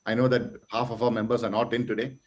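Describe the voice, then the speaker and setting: A man's voice: medium pitch, level tone, fast talker, dull audio. A man chairing an online speaking club meeting, hesitant with fillers, then giving an earnest motivational talk full of rhetorical questions.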